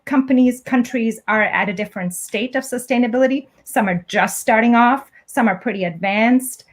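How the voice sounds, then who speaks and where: A woman born in Egypt, an academic guest, giving measured, explanatory interview answers. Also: fairly high pitch.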